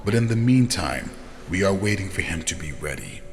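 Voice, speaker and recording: A man playing a solemn, formal vampire elder with measured delivery in a fantasy audio drama. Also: deep voice, lively intonation, faint music behind. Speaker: voice actor. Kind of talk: scripted fantasy character dialogue. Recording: long reverb, faint noise.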